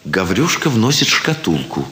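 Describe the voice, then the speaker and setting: A man's voice: lowish voice, sing-song, unhurried, bright, forceful tone. Male drama narrator in an echoey hall. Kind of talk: scripted stage-direction narration. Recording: echoey hall with faint noise.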